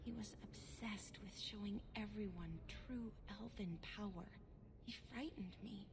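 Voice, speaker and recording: An actress playing a troubled, gentle elven wife in a video game, speaking in a hesitant, halting way. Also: female voice, medium pitch, background noise, close to an everyday voice. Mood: fearful, pleading. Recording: game audio with clear background ambience.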